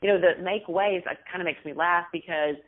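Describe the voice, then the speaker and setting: A woman's voice: on the low side, steady tone, fairly quick. Texan woman on a tinny phone line. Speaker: author and business owner, guest. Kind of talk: casual interview over the phone.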